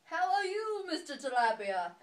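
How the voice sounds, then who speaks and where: A kindly picture-book seahorse father, voiced by a woman. The voice is high and animated, with polite, earnest emphasis suited to a children's storytime. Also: moderate pace. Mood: friendly greeting.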